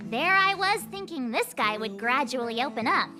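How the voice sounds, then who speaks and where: A woman voicing a perky, chatty, adventurous young girl in a video game, with a bubbly, expressive manner. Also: high-pitched, measured pace, full character voice. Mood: let down. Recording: music behind the voice.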